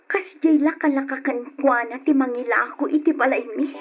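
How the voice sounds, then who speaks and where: A woman's voice: sits high, brisk, over music, lightly acted. A woman with an Ilocano accent playing a worried younger sister who pleads with her brother, in an old radio drama. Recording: dull lo-fi old radio recording.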